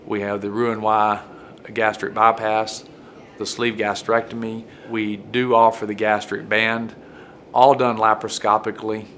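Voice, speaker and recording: A man's voice: on the low side, little pitch movement, unhurried, a bit bright. A man explaining as a clinician in an unscripted interview style. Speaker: weight loss center clinician.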